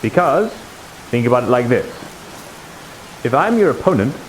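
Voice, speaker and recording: A man acting as a seasoned shield-bearing fighter in an improvised fantasy game. He instructs patiently and with caution, in a mid-pitched male voice. There is a faint hiss over the live voice chat. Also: very animated, normal speed, lightly acted, poor audio. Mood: patient, instructive.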